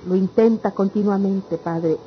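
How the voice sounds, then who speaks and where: A woman's voice: medium pitch, steady tone, a little slow, close to an everyday voice. Actress as a weary country mother, muffled radio drama. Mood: aggrieved, doubtful. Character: embittered, weary country mother of a grown son. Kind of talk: old-fashioned radionovela acting. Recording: dull lo-fi radio serial with noise.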